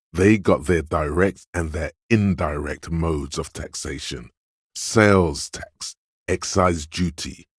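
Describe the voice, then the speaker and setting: A man's voice: no room sound, clean studio sound. A man playing a smug, goading inner voice in a video game, suave and theatrical, savoring his words with dramatic emphasis. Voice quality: very deep, husky.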